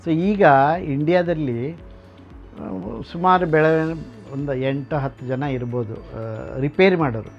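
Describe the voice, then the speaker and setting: A man giving an informal guided explanation as a collector and restorer, haltingly, with pauses and English words mixed in. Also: fairly high pitch. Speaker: vintage radio collector and restorer. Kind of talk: informal explanatory museum tour to camera. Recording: dull, lo-fi sound with music behind. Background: background music.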